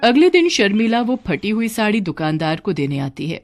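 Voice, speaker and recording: A woman storyteller reads scripted moral-story narration. Her pitch is average, and faint music plays behind her. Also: sing-song, moderate pace.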